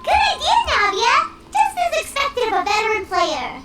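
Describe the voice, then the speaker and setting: A very high, swooping little floating girl, excitable and snack-crazed. The game audio sits in a roomy, echoey space, slightly distant, with music underneath. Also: normal speed. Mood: excited. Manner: excitable, bouncy, speaks of herself by name. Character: tiny floating female companion, eager and snack-loving. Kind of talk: game voice acting.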